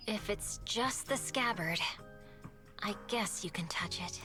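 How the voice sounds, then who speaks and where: A woman's voice: medium pitch, slow pace, over music, lightly acted. A woman playing a stoic young swordswoman in a video game, terse, reserved and formal. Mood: calm, cautious.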